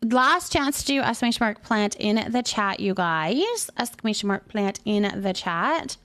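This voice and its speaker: A woman's voice: mid-range voice, lively intonation, a little slow, a bit bright. A woman hosting a casual livestream, chatting away as she reads and answers comments, often repeating her phrases in an affirming way. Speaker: livestream host.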